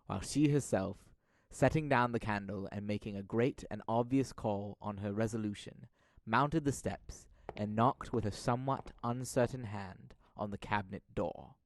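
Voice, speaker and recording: A young man narrating a literary audio drama in a deliberate storytelling manner. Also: lowish voice, a little hiss or hum. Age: young adult.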